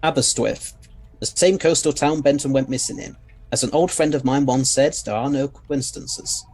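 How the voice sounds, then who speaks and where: A man acting an eccentric, assured alien scientist in an audio drama. His voice is mid-pitched and his phrasing is courteous and old-fashioned. Clear background noise runs under him. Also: normal speed, darkish tone, lightly acted. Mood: brisk, urgent.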